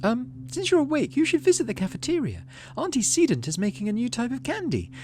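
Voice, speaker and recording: A man voicing a sweet, shy little girl in a game, hesitant and gentle, eager to please. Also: extremely high pitch, wildly swooping pitch, a little fast, voice pushed up, full character voice. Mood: sweet, apologetic.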